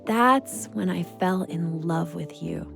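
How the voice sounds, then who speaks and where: A woman playing a wry, affectionate girlfriend in an audio drama, natural and expressive. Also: on the low side, slow pace, gravelly, soft background music, heavily acted. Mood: wistful.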